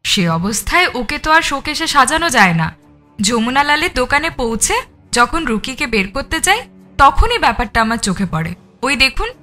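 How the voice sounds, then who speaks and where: High, bright, self-assured woman suspect. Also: big pitch swings, moderate pace, soft background music, full character voice. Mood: self-assured explaining. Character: composed married woman artist explaining herself, cunning suspect. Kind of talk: audio story character acting.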